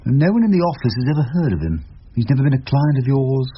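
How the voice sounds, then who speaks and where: An actor playing an ageing English criminal barrister in a radio drama, wry, literate and measured in dialogue and narration. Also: male voice, mid-range voice, very animated, close to an everyday voice. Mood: probing. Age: older. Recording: muffled, noisy lo-fi radio recording.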